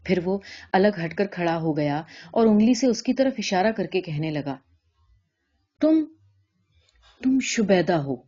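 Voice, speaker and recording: A woman's voice: fairly low pitch, lively intonation, few pauses, dark tone, muffled recording. A woman narrator reading a literary short story as scripted narration.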